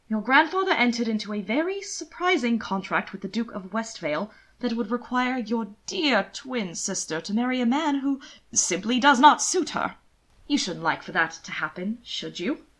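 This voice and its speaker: A woman voicing a stern, formal household servant in an audio story, speaking in a quiet, clipped way. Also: mid-range voice, lively intonation, moderate pace. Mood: stern, persuasive.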